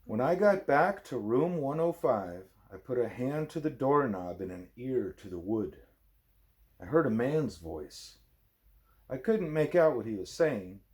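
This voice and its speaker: A man, a parent, reading a book aloud expressively as a bedtime story, with a wry, deadpan manner. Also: medium pitch, measured pace.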